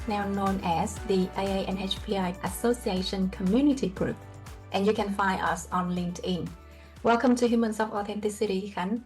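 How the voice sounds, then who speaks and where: A woman hosting a podcast, reading a formal, welcoming introduction of her guest. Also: medium pitch. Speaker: podcast host. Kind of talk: scripted show introduction. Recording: music playing under the voice.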